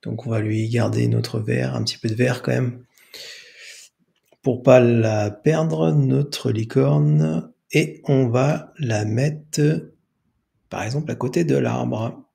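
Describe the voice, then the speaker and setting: A man's voice: mid-range voice, fairly even intonation, slow, creaky, mellow. A man hosting an improvised screen tutorial, hesitantly narrating each step aloud. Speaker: tutorial host.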